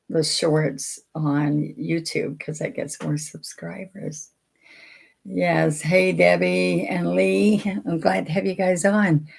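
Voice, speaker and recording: An older woman, chatting folksily as she teaches a painting lesson and greets viewers by name. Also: low voice. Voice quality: raspy. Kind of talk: casual livestream painting lesson.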